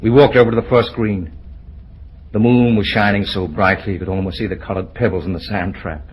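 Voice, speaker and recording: A man playing a bashful, lovestruck young man in an old-time radio drama, with an earnest, halting delivery. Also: fairly low pitch, fairly even intonation, close to an everyday voice. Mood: tender, yearning. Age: young adult. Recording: noisy vintage radio drama recording.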